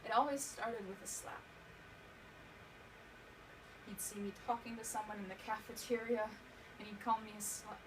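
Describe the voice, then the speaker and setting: A woman acting a haunted young survivor of abuse in a dramatic stage monologue, halting and emotional, repeating words for emphasis. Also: mid-range voice, flat delivery, lightly acted. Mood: anguished. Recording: distant mic, clearly noisy room recording.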